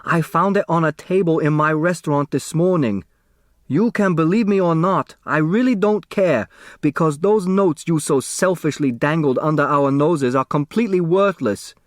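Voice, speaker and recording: A man's voice: a little high, normal speed, dry recording, clean studio sound, pitched above the performer's own voice, lightly acted. A man voicing an indignant, blustering male restaurant owner in an audiobook, blunt and defensive.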